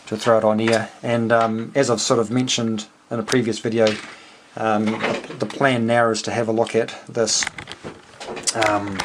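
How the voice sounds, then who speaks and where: A man with a New Zealand accent talking casually and unscripted in a halting, rambling way, as a hobbyist thinking aloud. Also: fairly low pitch, background noise. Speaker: amateur radio operator and homebrew electronics hobbyist. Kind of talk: casual unscripted technical walkthrough.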